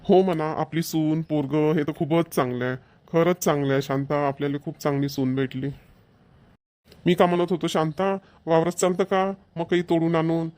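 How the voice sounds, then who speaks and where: A man's voice: a little high, steady tone, moderate pace, a little mellow, close to an everyday voice. A man voicing an agreeable, easygoing middle-aged farmer husband in a cartoon comedy, with a rural Maharashtrian Varhadi accent. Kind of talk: Marathi cartoon comedy voice acting.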